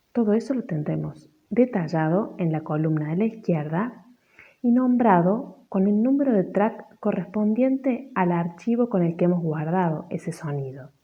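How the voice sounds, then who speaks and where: Mellow, dark-voiced Argentine woman teacher. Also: lowish voice, highs cut off. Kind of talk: instructional presentation with examples.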